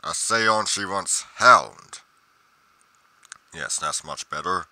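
A man's voice: low voice, slow pace, sharp tone, no room sound, full character voice. A man playing a pedantic, musing paranormal investigator narrating his journal theatrically in a game roleplay, pompous and dramatically emphatic.

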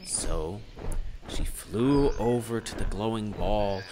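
A man narrating a children's story in an expressive, theatrical way. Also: lowish voice, slow, background noise. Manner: expressive, dramatic delivery. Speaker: storyteller, puppet show narrator.

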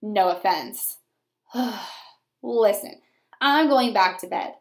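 A woman's voice: medium pitch, lively intonation, drawn-out words, pitched below the performer's own voice. A woman voicing a grumpy, bored penguin in a sarcastic, put-upon way, from a children's picture book. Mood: grumpy, dismissive.

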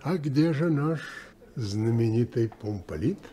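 An actor playing a modest, courtly tugboat captain in a radio drama, gracious and unhurried. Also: male voice, medium pitch, expressive, dark tone. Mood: modest, pleased.

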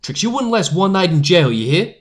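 A young man voicing a tough, protective newsboy leader who scolds in rough street slang. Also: high-pitched, big pitch swings, fairly quick. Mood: scolding.

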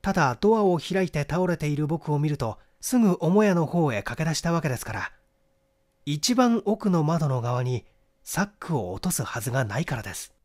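An earnest young gentleman in a mystery audiobook, played by a male reader, explains himself in a reasoned, confessional way. The voice sits slightly high and moves with lively inflection. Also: normal speed, close to an everyday voice. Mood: confessional, reasoning. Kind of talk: dramatized audiobook dialogue.

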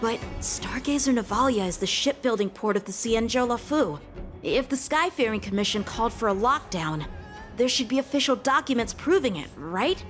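A woman's voice: on the high side, lively intonation, normal speed, fairly bright tone, soft background music. An actress voicing an eager young male knight who asks earnest, incredulous questions in a game cutscene.

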